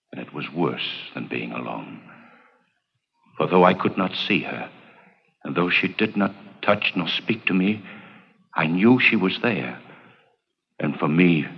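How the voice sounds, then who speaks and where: An actor playing a guilt-haunted blind doctor in old radio drama, speaking slowly and broodingly. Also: male voice, low voice, creaky, slightly rough, heavily acted. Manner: slow, halting, brooding delivery. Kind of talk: 1940s radio drama acting. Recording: muffled vintage radio recording with music.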